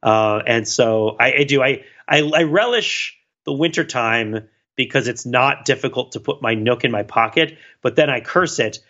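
A man co-hosting a podcast in casual, rambling chat, talking in an animated, self-deprecating and digressive way. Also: medium pitch, moderate pace, highs cut off. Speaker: podcast co-host.

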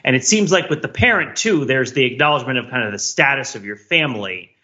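Man, middle-aged, sharp bright voice, analytical, wordy, deliberate, conversational, slightly lo-fi audio. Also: mid-range voice, animated. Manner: wordy, intellectual, deliberate phrasing. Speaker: podcast co-host.

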